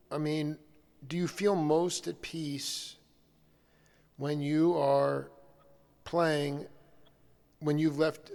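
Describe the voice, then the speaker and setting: Creaky, halting male interviewer. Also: fairly high pitch, a little mellow. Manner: halting, circles and rephrases his questions. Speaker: interviewer, show host. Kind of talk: conversational interview questioning.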